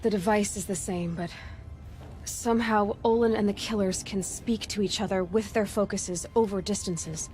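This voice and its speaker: Young female hunter, played by an actress, mid-pitched, self-reliant and matter-of-fact, cinematic game with score behind. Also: fairly even intonation, moderate pace, a little mellow, faint music behind, close to an everyday voice. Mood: guarded, firm. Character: determined, self-reliant young huntress, cool and observant. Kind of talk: video game voice acting.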